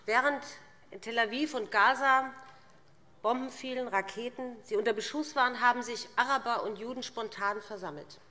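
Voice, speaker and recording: A middle-aged woman giving a formal, prepared political speech with deliberate, emphatic phrasing, speaking at a lectern microphone as a parliamentarian. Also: on the high side, sharp tone. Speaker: parliamentary speaker for a party group. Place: large indoor parliament chamber. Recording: reverberant large hall.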